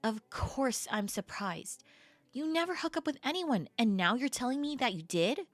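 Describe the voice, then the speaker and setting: Woman acting flirty, expressive best friend, close and dry. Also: fairly high pitch, normal speed, no echo. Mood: surprised, curious. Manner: casual, expressive, hesitant trailing-off phrases. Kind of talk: intimate audio roleplay acting, conversational.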